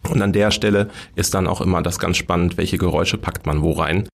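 A man's voice: deep voice, steady tone, a little fast, vocal fry. A man, explaining things informally and step by step as an interview guest. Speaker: radio play workshop leader, interview guest.

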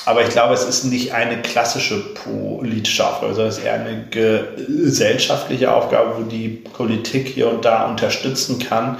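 A man's voice: medium pitch, creaky, very echoey. A man giving thoughtful, earnest interview answers. He stutters now and then, repeating words and breaking off sounds, with many fillers. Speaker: guest.

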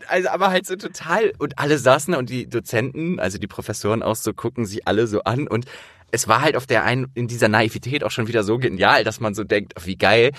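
A man's voice: mid-range voice, a bit bright, dry recording, clean studio sound. A man hosting a casual interview, talking in a rambling, storytelling way.

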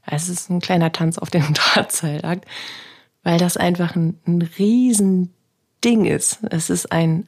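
A middle-aged German woman with a cold, hosting a podcast on grief and spirituality and talking gently and reflectively in a loose monologue that is full of questions. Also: lowish voice. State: has a cold. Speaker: podcast host, grief counselor.

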